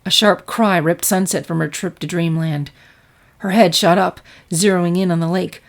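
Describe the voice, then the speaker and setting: A woman reading scripted fiction aloud as an expressive story narrator. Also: on the low side, moderate pace.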